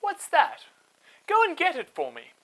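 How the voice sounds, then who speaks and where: A man's voice: very high-pitched, wildly swooping pitch, a little slow, fairly bright tone, voice pushed up, heavily acted, rough recording. A man voicing a curious, gracious Egyptian princess in a children's story, giving airy, polite commands.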